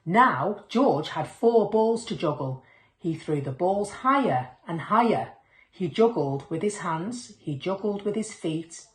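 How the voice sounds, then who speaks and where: A woman reading a children's picture book aloud as a storyteller, expressively acting out the dialogue. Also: lowish voice. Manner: expressive, acts out dialogue and sound words. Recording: echoey room.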